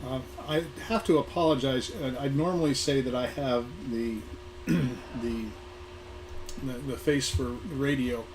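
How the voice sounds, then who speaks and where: A middle-aged or older American man reminisces in an informal talk, his voice hoarse and sitting at an average pitch. He speaks haltingly, repeating small words and pausing to find the next one. The live recording has clearly audible background noise. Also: steady tone, a little mellow. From Southern California. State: hoarse, getting over an illness. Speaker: guest speaker, museum programming manager. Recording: noisy live recording with room ambience.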